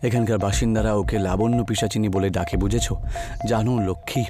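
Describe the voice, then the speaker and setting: A man's voice: on the low side, steady tone, brisk, over music, lightly acted. A man playing a frightened, urgent husband in a horror cartoon, speaking dramatically.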